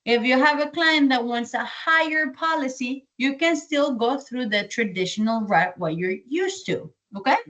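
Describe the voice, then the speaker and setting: High-voiced Colombian woman, sales trainer, lively, repeats key points. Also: moderate pace, few pauses. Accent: Colombian Spanish. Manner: energetic, repeats key points, asks and answers own questions. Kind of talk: training presentation.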